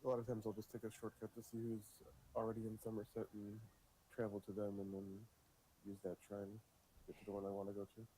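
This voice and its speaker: A man joins over voice chat as a fellow player, speaking quickly and matter-of-factly. His voice is low and very dark, with little rise and fall. A faint hiss sits behind him. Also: completely flat delivery, low-quality recording. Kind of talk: casual voice chat.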